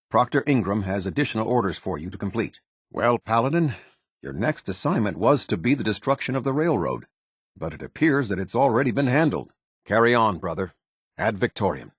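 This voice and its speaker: A man voicing a stern, loyal military captain in a video game, speaking in a formal, measured and authoritative way. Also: medium pitch, slightly rough, dry recording, clean studio sound. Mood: approving, formal. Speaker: military officer giving orders and briefings. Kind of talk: scripted video game voice acting.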